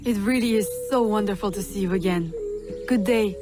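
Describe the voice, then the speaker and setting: A woman's voice: medium pitch, natural voice. Resolute young woman assassin played by an actress, music behind. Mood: polite, probing. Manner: measured, resolute, formal. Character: proud, defiant young woman assassin freeing slaves. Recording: music under the voice.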